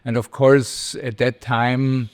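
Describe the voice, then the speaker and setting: Halting middle-aged Austrian man. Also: mid-range voice, little pitch movement. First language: German. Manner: halting, many repeated words and restarts. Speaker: guest, computer vision professor. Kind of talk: casual interview.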